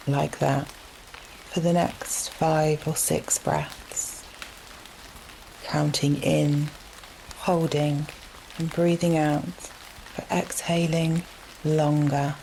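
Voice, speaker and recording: A woman leading a guided relaxation meditation in a gentle, soothing manner, leaving long unhurried pauses between phrases. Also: deep voice, slight background noise, rough recording. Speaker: yoga nidra meditation guide. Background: steady rain sounds.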